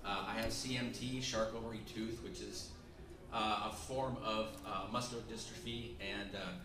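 A man's voice: fairly low pitch, steady tone, normal speed, crowd noise. A man born in Argentina speaking plainly and hesitantly to a panel. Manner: plain, matter-of-fact, hesitant. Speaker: audience member. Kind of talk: spontaneous speech to a panel. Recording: distant, echoey crowded hall.

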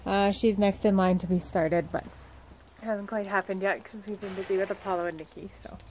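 A woman's voice: medium pitch, fairly even intonation, a little fast, a little mellow, slight background noise, poor audio. A young woman in her twenties chatting casually to her phone camera at a barn, talking fondly to her horses between her comments. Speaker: vlogger, horse trainer. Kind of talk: casual vlog chat and voice-over. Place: outdoors at a barn and paddock. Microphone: phone held at arm's length.

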